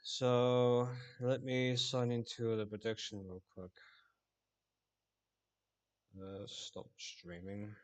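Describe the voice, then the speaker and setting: A man from Finland livestreaming casually, rambling with halting restarts. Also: medium pitch, flat delivery.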